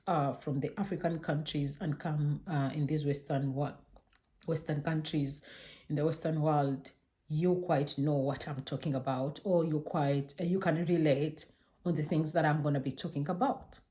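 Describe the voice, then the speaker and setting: A woman's voice: low-pitched, mellow. A young woman from Uganda talking casually to her camera, hesitant and full of fillers and restarts. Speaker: vlogger.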